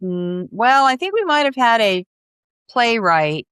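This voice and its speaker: A woman's voice: fairly high pitch, sing-song, a little slow, a bit bright, clear, resonant tone, dry recording. Woman hosting an interview, close dry sound. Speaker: interview host. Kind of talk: friendly conversational interview.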